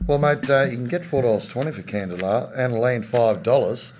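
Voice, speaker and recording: A man's voice: medium pitch, fairly quick, darkish tone. A man co-hosting a racing preview, quoting odds and picks in casual banter. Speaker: racing show co-host. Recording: music bed under voice.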